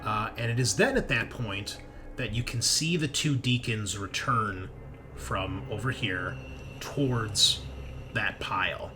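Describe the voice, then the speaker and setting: A man running a tabletop roleplaying game as game master, narrating casually and haltingly as he thinks aloud. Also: lowish voice. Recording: music playing behind the voice. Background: music.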